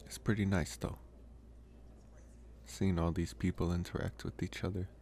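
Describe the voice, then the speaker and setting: A man playing a lonely, gentle young college vampire in an intimate ASMR roleplay, speaking softly and haltingly with pauses. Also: low voice, little pitch movement. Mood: relaxed, shy. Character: lonely, soft-spoken young male college vampire, politely devoted. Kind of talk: ASMR roleplay, intimate one-sided dialogue.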